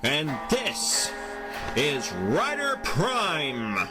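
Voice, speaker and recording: A man's voice: fairly high pitch, wildly swooping pitch, very slow. A man narrating a scripted action audio drama as its storyteller. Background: music.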